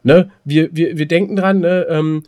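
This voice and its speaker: A man's voice: a little high, fairly even intonation, normal speed, a little mellow. A man with a German accent co-hosting a casual podcast, explaining things and putting questions to his partner and the listeners. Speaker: podcast co-host.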